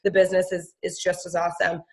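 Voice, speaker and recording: A woman's voice: lowish voice, completely flat delivery, quick pace. A woman hosting a business call, giving an emphatic, persuasive motivational talk and introducing her guest. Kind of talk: motivational pitch.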